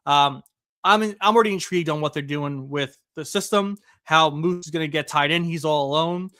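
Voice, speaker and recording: A man co-hosting a casual podcast, chatting with excitement and plenty of fillers. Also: on the high side, clear, resonant tone, dry recording, clean studio sound. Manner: excited, quick, conversational with filler. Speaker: podcast co-host. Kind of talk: casual wrestling podcast chat.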